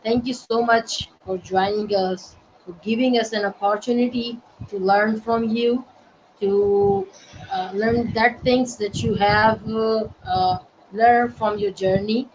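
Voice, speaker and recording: A woman hosting an online interview show, asking questions politely with repeated thanks and a few halting pauses. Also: on the high side, highs cut off, slight background noise. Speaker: host.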